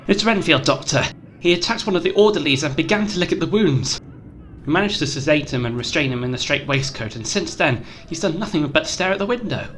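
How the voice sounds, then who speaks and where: A man's voice: fairly high pitch, expressive, brisk, a bit bright. An actor playing an anxious male asylum attendant in an audio drama. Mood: alarmed. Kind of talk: theatrical audio drama acting.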